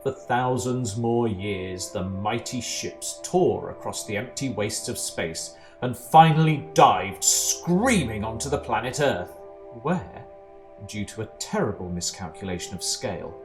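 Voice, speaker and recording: A man playing a measured, deadpan narrator of absurd galactic tales in an amateur audio drama. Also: on the low side. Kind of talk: scripted comic sci-fi narration. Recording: music behind the voice.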